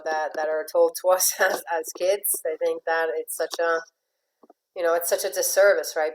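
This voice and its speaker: A woman's voice: low-pitched, poor audio. A woman coach from Venezuela giving a motivational talk to a group, chatty, often repeating words and laughing lightly between phrases. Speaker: life and relationship coach.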